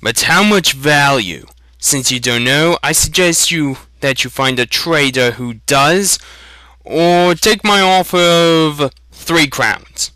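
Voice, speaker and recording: A surly village butcher from a fantasy storybook, acted out by a man. He is sneering and quick to anger, with a very forceful, sharp edge. His words come out clear and crisp. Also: on the high side, no room sound, voice pushed up. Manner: sneering, exaggerated patience turning to shouting.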